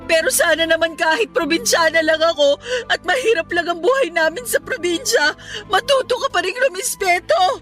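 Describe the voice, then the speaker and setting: Very shrill, indignant young student. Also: female voice, lively intonation, normal speed, fairly bright tone, faint music behind. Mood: indignant, hurt. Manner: scolding, pleading, tearful. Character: indignant young provincial working student, wronged but defiant. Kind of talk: Tagalog radio drama acting.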